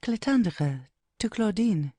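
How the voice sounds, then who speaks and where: A woman's voice: mid-range voice, animated, slow, noisy background. A woman narrating an audio play, reading out headings and stage directions as scripted narration.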